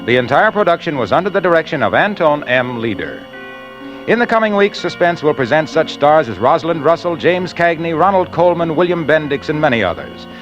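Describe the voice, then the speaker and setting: A man's voice: on the high side, big pitch swings, fairly quick, a bit bright, muffled recording. An American man working as a 1940s radio announcer, formally and emphatically reading credits on a vintage recording. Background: faint music.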